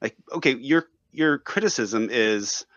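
A man's voice: mid-range voice, lively intonation, unhurried, fairly bright tone, no room sound, lo-fi sound. A man speaking as a guest in a casual discussion, easygoing and thinking aloud.

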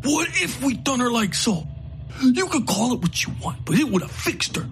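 A man voicing a gut-shot, feverish, bigoted man who talks in coarse folksy grammar, in a dark audio story. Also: very high-pitched, sing-song, normal speed, faint music behind, voice pushed up, heavily acted. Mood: bitter.

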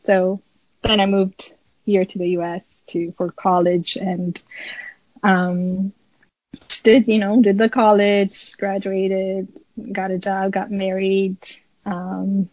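Slow, hesitant woman in dull audio. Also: mid-range voice, flat delivery, a little mellow, no room sound. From Ethiopia. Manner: hesitant, many pauses while gathering thoughts. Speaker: podcast guest. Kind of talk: casual personal storytelling in an interview. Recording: slightly dull, muffled lo-fi audio.

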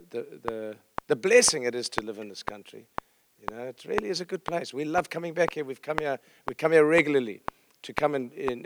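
A man's voice: mid-range voice, noisy background. A middle-aged man with a South African accent speaking informally to a congregation as a visiting pastor, conversational, humorous and self-deprecating. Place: church service, speaking to congregation.

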